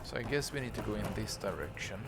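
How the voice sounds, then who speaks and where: Low-voiced gaming host talking over play. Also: male voice, fast, background noise. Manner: relaxed, thinking aloud with fillers. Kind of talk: casual let's-play commentary. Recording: voice over loud game music.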